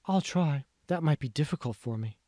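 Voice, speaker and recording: A man voicing a polite, deferential young Chinese woman in an audiobook, breathy and careful. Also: expressive, moderate pace, dark tone, no echo, studio quality. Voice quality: breathy, high-pitched. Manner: demure, careful.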